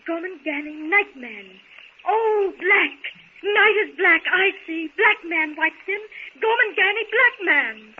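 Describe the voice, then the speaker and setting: A woman's voice: very high-pitched, animated, slow pace, heavily acted. An actress playing an anxious, well-bred young woman stranded in the jungle, speaking earnestly and articulately in a theatrical 1930s radio serial style. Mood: puzzled, excited. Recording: tinny, noisy 1930s radio recording.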